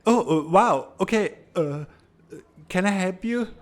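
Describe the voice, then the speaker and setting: A man's voice: sits high, lively intonation, a little slow. A man voicing a hapless, bewildered teenage student in a comic dub, hesitant and exasperated, on a noisy home microphone. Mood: confused. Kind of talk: animated comic-dub voice acting.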